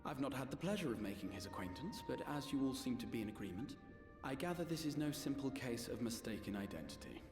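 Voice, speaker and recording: A man voicing a composed, analytical gentleman of a fantasy game. He speaks formally and articulately at a middling pitch. The voice carries hall reverb, with music playing clearly behind. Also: big pitch swings, quick pace, few pauses, close to an everyday voice. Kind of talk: scripted fantasy game voice acting.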